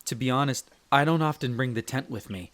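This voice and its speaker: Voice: medium pitch, fairly quick, natural voice. A performer playing a young male elf explorer, a chatty, friendly guide speaking to the listener in an audio roleplay. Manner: expressive, chatty, stammers when flustered.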